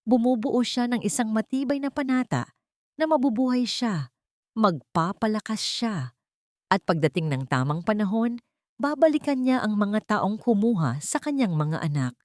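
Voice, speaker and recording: A woman narrating a scripted dramatic story in a measured, solemn storyteller's delivery. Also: medium pitch, darkish tone, no echo, studio quality.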